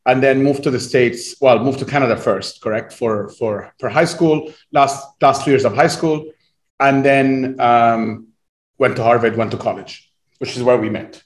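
A man's voice: mid-range voice, fairly even intonation, normal speed, echoey room. A man, hosting a conversational interview over a video call and building long, reflective questions. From Egypt. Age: 40s. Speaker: interview host. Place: remote video call, echoey room.

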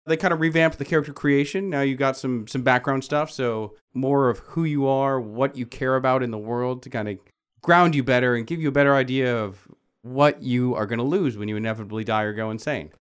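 Male game master, mid-pitched, informal and wry, explaining rules conversationally on a podcast. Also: brisk, clean tone.

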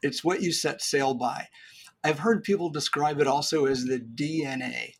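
A middle-aged man, a podcast guest, giving business advice in a lively, joking, explanatory way. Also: fairly high pitch, normal speed, breathy edge. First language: English. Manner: animated, jokey explainer.

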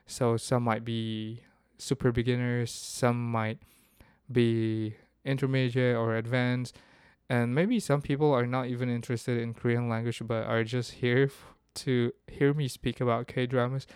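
A man's voice: mid-range voice, flat delivery, unhurried, darkish tone, clear, resonant tone, no room sound, studio quality. A man from the Philippines, hosting a casual solo podcast and thinking aloud with frequent restarts. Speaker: podcast host.

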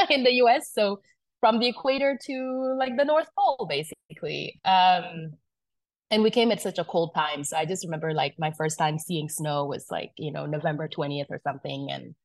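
Low-voiced woman from South Sudan. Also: no echo. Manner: chatty, storytelling, many fillers and restarts. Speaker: wine business founder, guest. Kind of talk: casual conversation.

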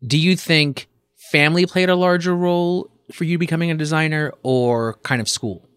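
A man hosting a design podcast, reading a scripted introduction and then asking a guest questions in a lively conversational way. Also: fairly high pitch, normal speed, clear, resonant tone. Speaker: podcast host. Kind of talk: podcast interview.

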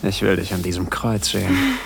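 Gravelly, low actor as a dominant husband. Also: male voice, wildly swooping pitch, normal speed, slight background noise, exaggerated voice. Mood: probing, hungry. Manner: probing, teasing questions. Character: dominant, teasing husband of the woman. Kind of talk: erotic audio drama acting. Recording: music behind the voice.

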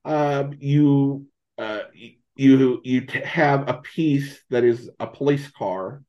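A man chatting casually as a podcast interview guest, speaking haltingly with repeated false starts. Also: medium pitch, dull audio.

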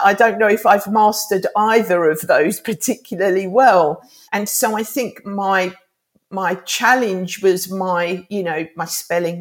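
Earnest bilingual woman, Greek first language. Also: lowish voice. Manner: earnest, rambling, many restarts. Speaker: author and life coach. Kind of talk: casual podcast interview.

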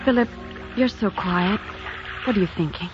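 An actress playing an earnest, troubled young heroine with a secret, in theatrical 1940s radio style. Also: female voice, medium pitch, normal speed, over music, natural voice. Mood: anguished. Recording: dull, slightly noisy 1940s radio recording.

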